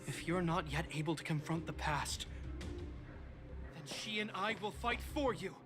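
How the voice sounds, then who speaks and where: A man voicing a protective young soldier in a video game, earnest and measured, with a reassuring manner. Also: a little high, expressive. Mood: urgent, pleading. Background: game music.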